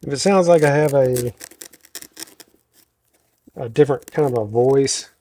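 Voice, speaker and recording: Deep-voiced country man with a cold. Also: measured pace, warm tone, slight background noise. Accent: American country. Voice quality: naturally very deep voice. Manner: talks to himself while working, self-correcting. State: slight head cold. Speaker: host showing a woodworking project. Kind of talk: casual workshop tutorial, thinking aloud.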